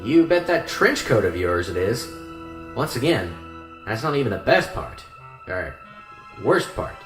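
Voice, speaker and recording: A man's voice: mid-range voice, very animated, unhurried, a bit bright. Guy playing a chatty morbid old-hand mortician, swoopy theatrical delivery, echoey with music. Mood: eagerly morbid. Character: seasoned small-town male mortician, animated, morbidly chatty. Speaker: actor in a student radio drama. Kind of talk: radio drama acting. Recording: roomy echo with clear music behind.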